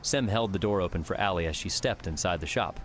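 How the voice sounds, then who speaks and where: A man's voice: sits low, brisk, fairly bright tone, a little hiss or hum. A man reading scripted story narration as an audiobook narrator.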